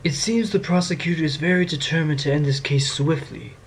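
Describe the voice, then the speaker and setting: A man playing a wry, quick-witted defense lawyer in an amateur audio drama. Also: on the high side, slightly rough.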